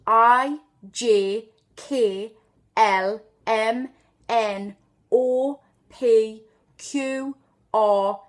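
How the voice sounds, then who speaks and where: A woman's voice: medium pitch, a little mellow. A woman from Newcastle with a Geordie accent, chatting through an unscripted accent tutorial and drawing out sample words to demonstrate them. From Newcastle upon Tyne, northeast England. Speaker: accent tutor and video host.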